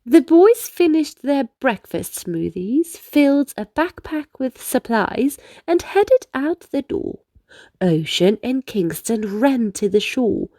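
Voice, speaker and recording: A woman narrates a children's story in a high, very animated voice. She takes her time, reading expressively, and the sound is dry and close. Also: slow, dry recording. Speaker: storybook reader. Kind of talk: children's read-aloud narration.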